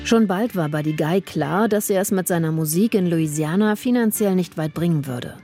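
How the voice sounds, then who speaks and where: Woman narrating a mellow news feature. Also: on the low side, normal speed, soft background music. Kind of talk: scripted narration.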